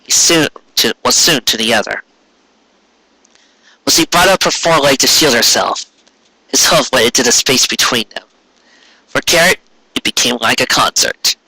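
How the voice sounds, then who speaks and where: A man's voice: mid-range voice, moderate pace, sharp tone, husky, no room sound, rough recording. A man narrating a scripted story as a dramatic fan fiction reading.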